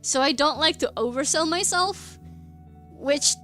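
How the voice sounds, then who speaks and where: A woman's voice: high-pitched, a little slow, pushed and bright, soft background music. A young woman from the Republic, chatting casually on stream while she draws, rambling, laughing often and hunting for words. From Czech Republic. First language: Czech. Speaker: artist streamer. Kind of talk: casual chat while drawing.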